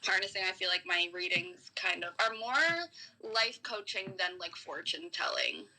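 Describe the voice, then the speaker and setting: A woman from New York City talking casually as a podcast guest, frank and informal, explaining things in a halting way. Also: on the low side, expressive, sharp tone, low-quality recording. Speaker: podcast guest, comedian and tarot reader. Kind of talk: casual conversation.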